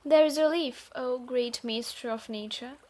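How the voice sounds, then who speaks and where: A woman playing a guarded, troubled thief in a fantasy audio drama, speaking haltingly and reluctantly softening. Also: sits high. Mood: amused, softened. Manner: hesitant, sighing, halting delivery. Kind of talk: scripted roleplay audio drama.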